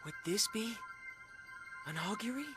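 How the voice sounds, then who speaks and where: A man's voice: high-pitched, lively intonation, measured pace, over music. An actor playing an earnest young male hero in a fantasy video game, questioning what he hears. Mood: puzzled, concerned.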